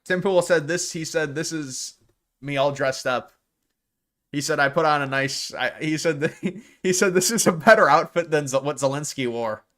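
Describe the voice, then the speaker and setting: A man's voice: a little high, moderate pace, fairly bright tone. A man hosting a live stream, commenting casually on clips and often stopping to restart and repeat words.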